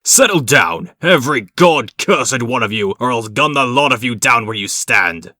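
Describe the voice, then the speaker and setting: A man's voice: medium pitch, lively intonation, moderate pace, pushed and bright, no echo, studio quality. A man playing a stern, menacing, imperious villain in a comic dub. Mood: threatening.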